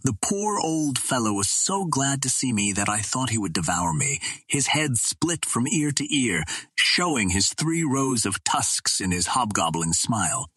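A man narrating an adventure audiobook in a measured, earnest first-person reading on a close mic. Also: medium pitch, very animated, sharp tone, slightly rough, no echo.

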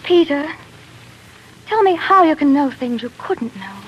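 A woman's voice: high-pitched, sing-song, measured pace, highs cut off, slight background noise. An actress playing a tender young woman in love, pleading and romantic, in 1940s radio drama style. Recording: 1940s radio broadcast, faint music.

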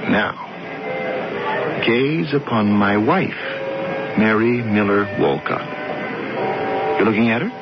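A man's voice: fairly low pitch, slow pace, long pauses, over loud music. An actor playing an earnest, stubbornly obsessed American businessman in a 1970s radio drama, stammering and emphatic. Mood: insistent, fervent. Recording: muffled, noisy vintage radio broadcast.

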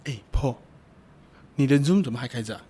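A man's voice: mid-range voice, lively intonation, a little fast, dark tone, breathy edge. A man with a Malaysian accent playing a playful, doting gym teacher who teases and coaxes his boyfriend in a Chinese radio drama. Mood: teasing. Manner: teasing, coaxing, sing-song particles. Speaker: amateur voice actor.

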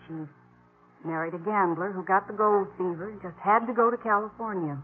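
A woman's voice: mid-range voice, a little fast, lightly acted. An actress playing a weary, defiant young captive woman in a 1950s radio western, blunt and bitter. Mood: sombre. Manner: blunt, bitter, plain-spoken. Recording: muffled old 1950s radio recording.

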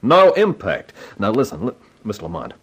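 Husky, brash wisecracking adman. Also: fairly low pitch, wildly swooping pitch, normal speed, full character voice. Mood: brash, persuasive. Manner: slick, cocky, punchy. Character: brash, wisecracking advertising man with an eye for women. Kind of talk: 1950s radio drama acting, hardboiled first-person narration.

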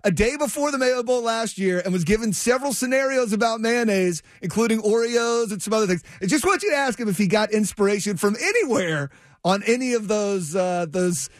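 A man's voice: high-pitched, expressive, a little fast, no echo, studio quality. A man hosting a sports talk radio show, talking in a conversational, effusive, rambling way. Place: radio studio.

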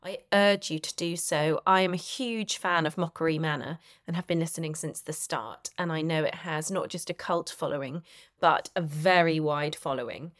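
A woman's voice: low-pitched, moderate pace, fairly bright tone, dry recording, clean studio sound. A woman hosting a podcast, speaking in an effusive, enthusiastic way as she introduces and interviews. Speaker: podcast host.

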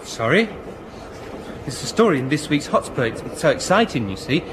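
An actor playing a childish, naive young private in a radio sitcom, eager and apologetic. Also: male voice, a little high, very animated, moderate pace. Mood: excited. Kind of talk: broadcast radio sitcom acting. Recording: very noisy vintage radio recording.

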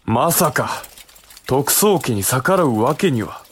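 A man's voice: medium pitch, expressive, slow pace, husky. A man playing an earnest, anxious young samurai younger brother who speaks politely in a period audio drama.

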